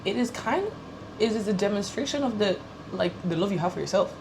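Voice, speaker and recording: Lively woman chatting over noise. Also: medium pitch, fairly quick. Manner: playful, lively banter. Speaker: podcast guest. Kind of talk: casual podcast chat.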